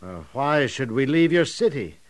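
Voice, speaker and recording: An actor playing a steadfast, earnest missionary prisoner in an audio drama, speaking in a measured, expressive way. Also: male voice, medium pitch, natural voice. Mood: indignant, firm.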